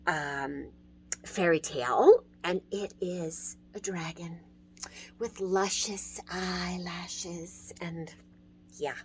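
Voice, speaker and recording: A middle-aged woman casually and enthusiastically recommending books in an informal style, as a children's librarian would. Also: lowish voice. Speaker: children's librarian hosting book talks.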